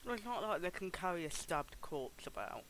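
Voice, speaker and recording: A woman's voice: on the low side, big pitch swings, moderate pace, a bit bright, a little hiss or hum. A woman streaming a video game, giving live commentary in a wry, theatrical manner.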